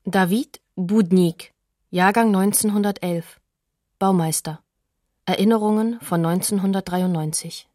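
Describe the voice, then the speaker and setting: A woman making scripted announcements in a radio documentary, with a mellow, dark voice. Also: medium pitch, unhurried, no echo, clean studio sound, close to an everyday voice. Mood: matter-of-fact. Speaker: announcer of witness names.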